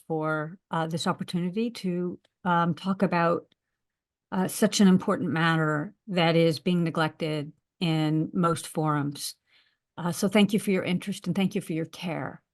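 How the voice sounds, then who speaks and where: An American woman with a somewhat low voice speaks in a webinar over a video call. She talks hesitantly, pausing often on filler words. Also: fairly even intonation. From United States. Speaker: university lecturer. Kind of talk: webinar talk.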